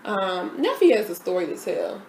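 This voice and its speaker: A woman's voice: mid-range voice, very animated, moderate pace, darkish tone. Opinionated woman gossiping about TV shows. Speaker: co-host. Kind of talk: casual TV recap chat. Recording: echoey room with faint noise.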